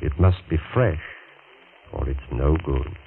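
An actor playing a vindictive, scheming male surgeon in a 1940s radio drama, measured and insinuating. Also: a bass voice, full character voice. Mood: persuasive. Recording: tinny old radio broadcast with faint hiss.

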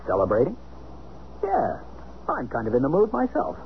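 Jaunty American businessman, very animated pitch, easily flustered, radio drama, dull old recording with faint noise. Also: normal speed, vocal fry, heavily acted. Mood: sheepish, genial. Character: jaunty, flustered businessman and estranged husband, former college athlete.

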